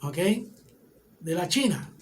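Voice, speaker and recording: Un hombre con acento puertorriqueño que enseña una clase bíblica, explicando paso a paso y preguntando "¿ok?" a menudo. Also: male voice, on the high side, very animated, slow, slight background noise. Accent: Puerto Rican Spanish. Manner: explanatory, often checks in with "¿ok?". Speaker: Bible teacher. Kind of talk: teaching a Bible class.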